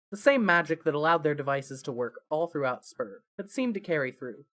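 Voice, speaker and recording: Mid-pitched storyteller reading fan fiction dramatically. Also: a bit bright. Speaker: narrator. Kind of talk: scripted fan fiction narration.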